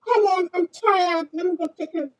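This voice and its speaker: A woman's voice: very high-pitched, moderate pace, no room sound, pitched above the performer's own voice, rough recording. A woman voicing a tired, dismissive parent in a game roleplay. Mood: weary, dismissive. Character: weary, dismissive parent who wants peace.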